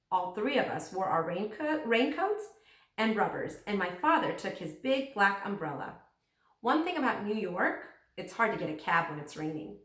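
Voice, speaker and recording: A woman's voice: mid-range voice, a bit bright. A woman reads a children's book aloud like a teacher, telling the story expressively and acting out the dialogue. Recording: roomy echo, distant microphone.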